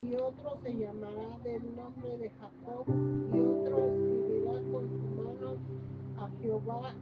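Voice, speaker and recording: A woman's voice: a little high, unhurried. A woman in the congregation reading a Bible verse aloud, a little hesitantly. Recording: tinny phone-like line with loud music.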